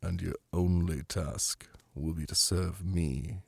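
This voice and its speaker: A man's voice: low-pitched, steady tone, slow pace, creaky, slightly rough, no echo, exaggerated voice. A man playing a commanding, seductive demon prince in an ASMR fantasy roleplay, speaking in a silky, formal and flirtatious way. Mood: possessive, seductive. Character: commanding, seductive male demon prince, doting yet imperious.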